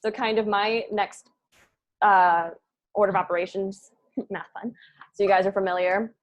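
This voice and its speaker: A woman's voice: medium pitch, fairly even intonation, moderate pace, dull audio. A woman leading a cast meeting in casual, rambling talk with an informal, jokey manner. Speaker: show creator leading a cast table-read meeting. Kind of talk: casual chat.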